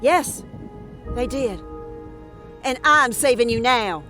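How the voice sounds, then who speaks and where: A cold, self-justifying woman in a western audio drama. Her high voice presses insistently. Music is clearly heard behind her. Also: expressive, slow pace, sharp tone, exaggerated voice. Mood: insistent, defensive. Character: cold, self-justifying woman betraying others for a loved one.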